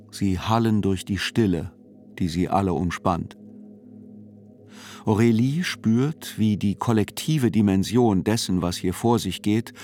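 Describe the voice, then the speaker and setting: Radio play narrator, male, sober and unhurried delivery of literary text, faint music underneath. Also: fairly low pitch, a little mellow. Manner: deliberate, sober.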